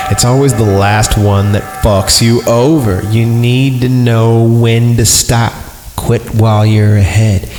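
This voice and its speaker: A man's voice: fairly low pitch, gravelly. A man reading a story aloud as a podcast host, irreverent and crude, breaking off into sarcastic comic asides. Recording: roomy echo with music behind. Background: music.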